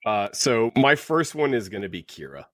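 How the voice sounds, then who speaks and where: A man's voice: lowish voice, very animated, normal speed, a bit bright, no echo, clean studio sound. A man co-hosting a podcast, chatting casually and enthusiastically about books. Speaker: podcast co-host. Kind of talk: casual book club podcast chat.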